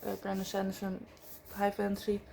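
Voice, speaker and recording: Chatty woman with a slightly gravelly voice. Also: medium pitch, monotone, a little fast, background noise. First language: not English. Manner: chatty, frequent fillers and self-corrections. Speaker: book vlogger. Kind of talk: casual book review chat.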